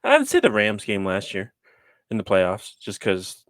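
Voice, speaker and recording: A man's voice: lowish voice, wildly swooping pitch, normal speed, dry recording, clean studio sound. A man on a sports podcast who rambles and hesitates, with frequent restarts, as he gives his frustrated take on the game. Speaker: podcast co-host. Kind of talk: casual sports podcast talk.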